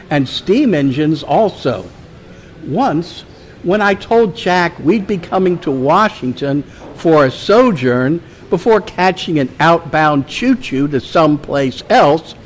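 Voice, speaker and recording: Man, somewhat high pitch, very unhurried pace, formal measured reading, award tribute at a meeting. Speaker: club member presenting an award and reading a tribute.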